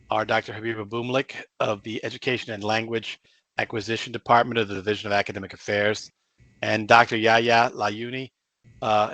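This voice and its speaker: A man hosting an informal radio interview, speaking in a halting, self-deprecating way. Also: medium pitch, bright, forceful tone, dry recording, low-quality recording. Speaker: radio show host.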